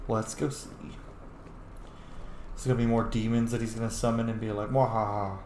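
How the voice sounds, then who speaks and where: A man's voice: fairly low pitch, level tone, normal speed, a little mellow, slight background noise. A man giving casual, offhand gameplay commentary and joking to himself as he plays. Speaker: gaming video host. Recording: roomy echo, faint game music behind. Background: faint game music.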